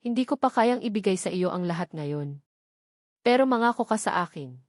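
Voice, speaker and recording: Earnest Filipino lover played by a woman, romance storybook read, mid female pitch, dry. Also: lively intonation, normal speed, a little mellow, no echo, close to an everyday voice. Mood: earnest, pleading. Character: devoted, earnest young male architect in love.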